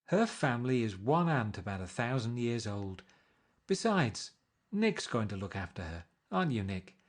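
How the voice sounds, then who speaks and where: A man reading aloud and voicing a flighty, teasing wealthy young woman with a high, gushing lilt. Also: sing-song, normal speed. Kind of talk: audiobook character voice.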